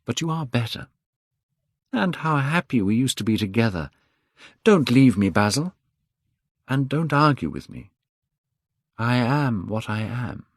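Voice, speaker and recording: A man's voice: medium pitch, big pitch swings, a little slow, pauses often, dry recording, clean studio sound, pitched above the performer's own voice. A man reading aloud, voicing a vain, careless young man who sounds bored and dismissive. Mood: cold, insistent. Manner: bored, dismissive, rising to sharp cries.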